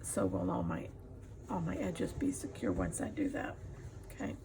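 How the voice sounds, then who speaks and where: A middle-aged woman giving a casual craft demonstration as she works, pausing often to think. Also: very deep voice, big pitch swings. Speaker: craft tutorial host. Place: indoors at a craft table. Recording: clear background noise, room ambience.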